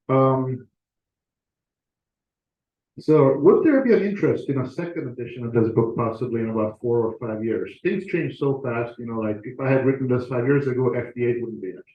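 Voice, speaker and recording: A man giving an informal, conversational talk as a guest speaker to an audience, with a self-deprecating touch. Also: medium pitch. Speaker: author and guest speaker presenting to a club. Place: meeting room, talk to a live audience. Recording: echoey room, slightly muffled audio.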